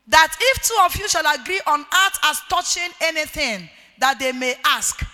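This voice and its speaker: A middle-aged woman with a Nigerian accent and a loud, forceful voice, preaching a marriage sermon emphatically and repeating key phrases. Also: high-pitched. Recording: echoey hall with long reverb.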